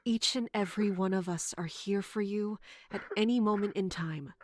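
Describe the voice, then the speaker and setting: An actress playing a supportive captain in an audio drama, consoling a comrade in a measured, gentle, reassuring way. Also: female voice, mid-range voice, lightly acted.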